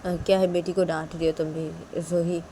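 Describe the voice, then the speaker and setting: A woman's voice: fairly low pitch, level tone, moderate pace, warm tone, slight background noise, natural voice. A woman voicing a timid young father who pleads and stammers anxiously. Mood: pleading.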